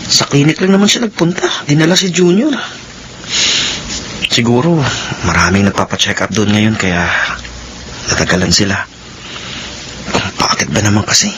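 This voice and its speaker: A man's voice: lowish voice, moderate pace, long pauses, fairly bright tone, slight background noise, lightly acted, poor audio. An actor playing a dutiful young man of the household in a Filipino radio drama, chatting and musing to himself. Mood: musing, concerned.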